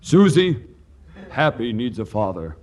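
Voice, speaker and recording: An actor playing a dim, self-important cowboy movie star with folksy, repetitive talk in a 1940s radio comedy. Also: male voice, mid-range voice, sing-song, darkish tone. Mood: earnest, bashful. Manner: folksy, slow-witted, repetitive drawling talk. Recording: faintly noisy old radio recording.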